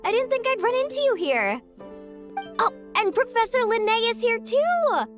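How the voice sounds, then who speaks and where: A woman's voice: extremely high pitch, big pitch swings, moderate pace, over music. A woman playing a bubbly, friendly young adventurer in a video game, breezy and enthusiastic. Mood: pleasantly surprised. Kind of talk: animated game character acting.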